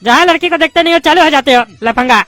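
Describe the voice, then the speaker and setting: A voice actor doing a squeaky, touchy bald politician. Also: sharp tone, soft background music. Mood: scolding. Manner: indignant, rapid-fire arguing and lecturing. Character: bald loudmouth male politician student, touchy and argumentative. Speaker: adult student in a class.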